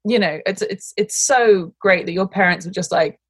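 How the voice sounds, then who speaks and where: A woman's voice: mid-range voice, normal speed, slightly rough, dry recording. A woman hosting a casual chat, rambling with many fillers and playful quoted asides. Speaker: host.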